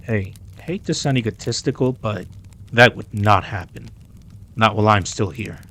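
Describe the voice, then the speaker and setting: Man playing a low-voiced, cocky, flirtatious mafia boss. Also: moderate pace, pushed and bright. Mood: teasing. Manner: smooth-talking, teasing, possessive, self-assured. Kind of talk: intimate romantic audio roleplay acting.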